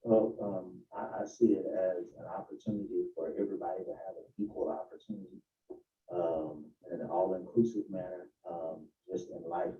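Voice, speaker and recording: A man in his forties giving an unrehearsed, somewhat halting answer as a panel participant. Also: low voice, flat delivery. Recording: muffled, narrow-band, echoey room sound.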